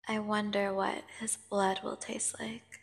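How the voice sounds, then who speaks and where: A woman playing a menacing, seductive vampire prisoner in an ASMR audio drama, taunting and condescending with theatrical pauses. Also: mid-range voice, level tone, creaky. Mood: gloating menace. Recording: long cavernous reverb.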